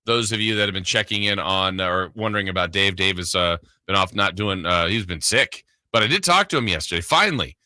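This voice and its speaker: Bright, forceful man hosting sports radio. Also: deep voice, animated, brisk, hardly any pauses, no room sound, clean studio sound. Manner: rambling, conversational. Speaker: sports radio show host. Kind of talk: casual chat on sports talk radio. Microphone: close studio broadcast mic.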